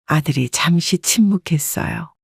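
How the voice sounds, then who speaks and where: A woman in her seventies calmly narrating a reflective first-person life story. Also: on the low side, a little slow, gravelly, breathy edge, no room sound, clean studio sound. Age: 70s. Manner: calm, reflective.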